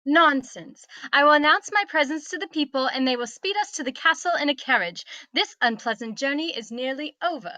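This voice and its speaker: A woman's voice: high voice, animated, fairly quick, few pauses, sharp tone, no room sound. A woman acting a haughty, entitled princess in a script read, with prim, imperious, formal diction.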